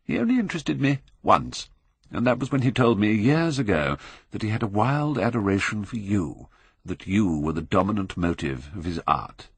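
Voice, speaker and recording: Casting: male narrator playing jaded British lord, older, epigrammatic, low creaky voice, elastic intonation. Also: expressive, normal speed. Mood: dismissive. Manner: drawling, epigrammatic, blasé. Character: languid, witty, cynical Victorian aristocrat, older gentleman.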